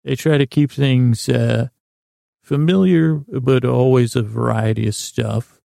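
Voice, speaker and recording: A man hosting a sleep podcast in a rambling, halting bedtime monologue, losing his thread and restarting in a gravelly voice. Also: medium pitch, dark tone, no echo, clean studio sound. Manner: meandering, halting, self-interrupting, absent-minded.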